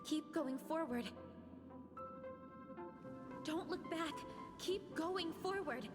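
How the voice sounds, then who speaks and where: A woman's voice: very high voice, extremely slow, exaggerated voice. An actress playing an eerie, insistent, otherworldly girl in a video game, heard through long reverb. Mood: eerie, urging. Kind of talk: scripted game voice acting. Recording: long echoing reverb, music behind.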